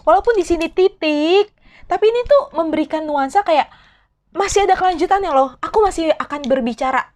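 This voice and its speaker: A woman's voice: extremely high pitch, animated, a little fast, darkish tone. A woman teaching a casual online language lesson, chatty, asking her viewers questions and slipping in English words.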